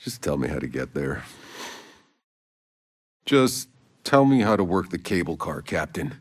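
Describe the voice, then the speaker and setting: An actor playing a gruff, world-weary soldier in a video game, blunt and sardonic, with a gravelly voice. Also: male voice, low-pitched, big pitch swings, unhurried, long reverb tail, full character voice. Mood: matter-of-fact. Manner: blunt, sardonic, casual and profane. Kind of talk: video game character dialogue.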